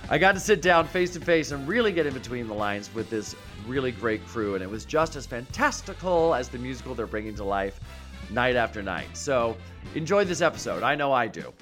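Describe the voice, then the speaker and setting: Animated man hosting a chatty podcast. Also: medium pitch. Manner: theatrical, gushing, playful delivery. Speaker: podcast host. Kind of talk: chatty podcast monologue and guest introductions.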